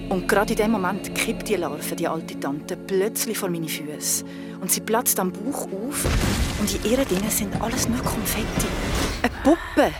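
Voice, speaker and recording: An agitated young Swiss German woman played by an actress tells her tale at a middle pitch. It is radio drama, with music clearly behind her. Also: unhurried, lightly acted. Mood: tense storytelling. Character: agitated young woman recounting a childhood horror. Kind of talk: naturalistic radio play storytelling.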